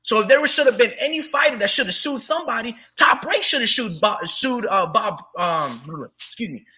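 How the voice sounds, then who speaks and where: A man hosting a boxing talk show, arguing in an animated, profane way while losing his voice a little. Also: very high voice, moderate pace. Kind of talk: heated casual boxing debate.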